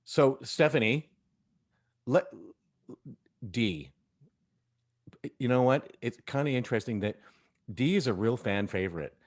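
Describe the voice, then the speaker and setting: A man's voice: medium pitch, lively intonation, a little slow, long pauses. A man hosting a casual cast talkback, chatting as part of a group. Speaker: host of an audio drama cast talkback.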